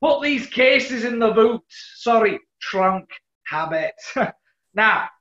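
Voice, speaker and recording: An actor playing a wealthy, breezy, imperious composer with a plummy, theatrical manner in a 1940s-style radio comedy. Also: male voice, high voice, expressive, takes it slowly, bright, forceful tone, exaggerated voice. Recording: echoey, dull room recording.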